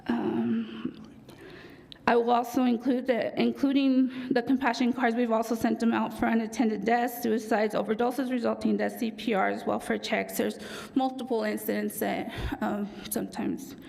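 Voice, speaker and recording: A woman with a raspy voice giving a formal presentation to a city council for a police department, with frequent ums and self-corrections. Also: a little high, flat delivery, normal speed, a little mellow, rough recording. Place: council chamber.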